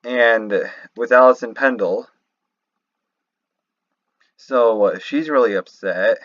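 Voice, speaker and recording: A man giving casual, unscripted commentary as a video host, halting and rambling as he goes. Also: medium pitch, fairly bright tone, dry recording. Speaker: video host reacting to game lore. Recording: slightly muffled, dry home microphone.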